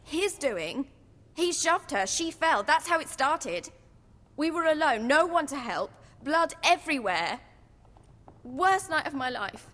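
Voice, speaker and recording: An actress playing a bitter young noblewoman who talks in a cold, sharp, sarcastic way. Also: high voice, big pitch swings, slow pace, heavily acted. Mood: shaken. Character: bitter young noblewoman, estranged daughter, zealous. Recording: long hall reverb.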